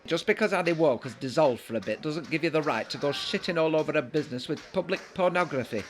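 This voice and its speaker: A man's voice: fairly high pitch, expressive, quick pace, slight background noise, natural voice. A man with a Northern English accent voicing a prim, disapproving old woman in a comedy dub. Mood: scolding. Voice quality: prim, scolding.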